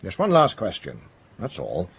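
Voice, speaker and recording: A stern police inspector in a radio play. The voice is very low and swings widely in pitch, with a deliberate, commanding delivery that moralises like a judge. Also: male voice, huge pitch swings, breathy edge, heavily acted. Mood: probing, firm. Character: stern, righteous middle-aged male police inspector. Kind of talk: radio drama acting.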